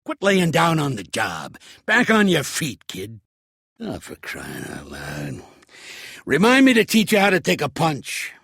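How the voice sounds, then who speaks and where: An actor voicing a shrewd, gruff old American mobster for a video game, streetwise and blunt, with a gravelly, husky voice. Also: male voice, fairly high pitch, wildly swooping pitch, unhurried, a bit bright, dry recording, studio quality, full character voice. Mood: barking, coaching. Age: older. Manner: streetwise, commanding, blunt, slangy. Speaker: mobster mentor and boxing coach. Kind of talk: video game character acting.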